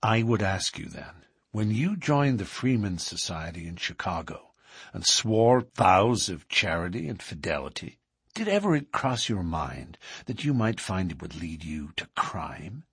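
A man's voice: sits low, sing-song, unhurried, breathy edge, no echo, clean studio sound, heavily acted. A man voicing a cautious, bitter older man in an audiobook, hesitant and guarded with anguished outbursts. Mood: uneasy, confiding. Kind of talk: audiobook character dialogue.

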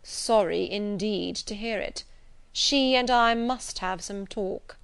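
Woman as a stern, slow, pompous clergyman. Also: medium pitch, vocal fry, smooth, no echo. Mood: stern, moralising. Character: grim, solemn, stern middle-aged clergyman, harsh and prim. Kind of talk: dramatised audiobook reading.

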